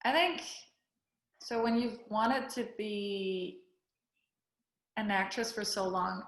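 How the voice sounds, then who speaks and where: A woman from Denmark who speaks English as a second language. She talks in a halting, searching way and laughs easily. Her voice sits in a middle range and carries a roomy echo. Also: medium pitch. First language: Danish. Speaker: guest actor. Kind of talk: casual interview chat.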